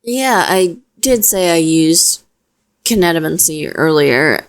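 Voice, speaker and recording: A young witch voiced by a woman speaks straight to the listener in an audio roleplay. Her voice sits a little low for a woman. She explains things softly and reassuringly, protective yet modest about herself. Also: expressive, takes it slowly, a little hiss or hum. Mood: sheepish, self-deprecating.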